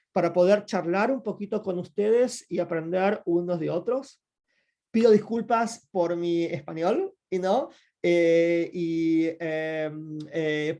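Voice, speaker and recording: A man's voice: high-pitched, warm tone. A man giving an academic talk over a video call, speaking thoughtfully with repeated phrases and many hesitations. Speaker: guest lecturer. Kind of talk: academic talk with audience questions.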